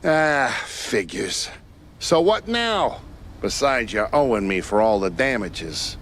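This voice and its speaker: An actor playing an excitable, flustered male sidekick in a cartoon noir game, stammering as he goes. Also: mid-range voice, slow pace, a little hiss or hum. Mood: agitated.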